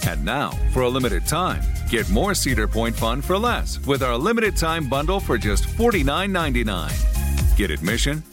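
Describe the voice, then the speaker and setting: A man's voice: mid-range voice, very animated, moderate pace, music in the background. A man reading a promotional radio advertisement as an announcer.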